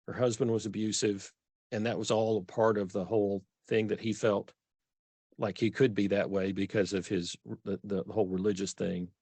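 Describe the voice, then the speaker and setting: A man's voice: fairly low pitch, little pitch movement, moderate pace, mellow, slightly rough, dry recording. A middle-aged man from Texas with a Texan accent, speaking earnestly as an author guest in a conversational interview, often restarting his sentences. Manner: earnest, self-correcting restarts.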